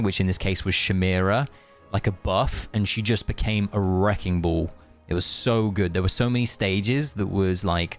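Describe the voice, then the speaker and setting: A man chatting informally and chummily to viewers as he streams a game. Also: on the low side. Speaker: game livestreamer. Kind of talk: casual livestream chat and commentary.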